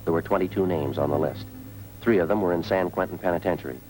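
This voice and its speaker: Male actor as dogged American detective for a 1950s radio police drama. Deep, brisk, terse, matter-of-fact. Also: darkish tone, soft background music, natural voice, low-quality recording. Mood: grim, matter-of-fact. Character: stoic, dogged male police detective, quietly worried for partner. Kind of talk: 1950s radio police drama, clipped dialogue and scripted narration.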